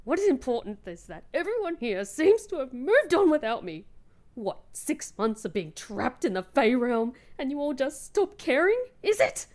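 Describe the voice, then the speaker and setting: A woman's voice: high-pitched, sing-song, moderate pace. A woman playing a hurt, bitter young woman home from captivity in an emotional audio drama. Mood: bitter, wounded. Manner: emotional, dramatic, British slang.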